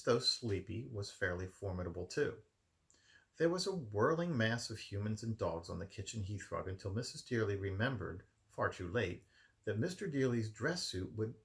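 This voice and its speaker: A man with a gravelly voice reading a children's story expressively, narrating from a written text in his room at home. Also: lowish voice. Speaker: amateur storybook reader.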